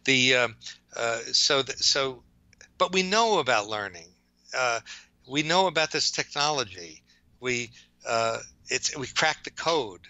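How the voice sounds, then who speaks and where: Bright, forceful New Yorker, older man. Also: mid-range voice, lively intonation, no echo, lo-fi sound. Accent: New York. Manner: rambling, halting, self-correcting. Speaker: OCD psychologist, interview guest. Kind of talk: reflective interview answers.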